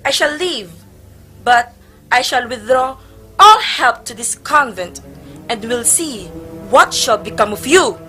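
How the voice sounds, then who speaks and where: Stage role: imperious, self-willed princess woman actor, bright forceful voice on the high side. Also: expressive, faint music behind, exaggerated voice. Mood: indignant. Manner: imperious, drawn-out, commanding. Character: haughty, self-willed, demanding Spanish princess. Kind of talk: amateur stage play acting. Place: stage play performance.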